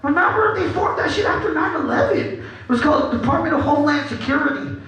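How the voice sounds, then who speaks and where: A man with a very high, bright voice banters loosely from a punk club stage, cracking jokes and swearing between songs. His voice booms through the PA into a very echoey room. Crowd noise hums behind him. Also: expressive, fast talker. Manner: casual, joking, profane. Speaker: punk band frontman.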